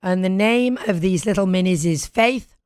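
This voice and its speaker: A British woman hosting a talk show in casual, playful chat, with a husky voice recovering from being lost. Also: medium pitch, normal speed, a little mellow, dry recording, studio quality. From Britain. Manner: playful, bantering.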